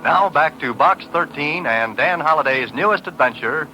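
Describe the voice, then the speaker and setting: A man as a grand old-time radio announcer. His highish voice rises and falls dramatically over loud music on a vintage recording. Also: lively intonation, moderate pace, lightly acted, rough recording. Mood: grand, dramatic.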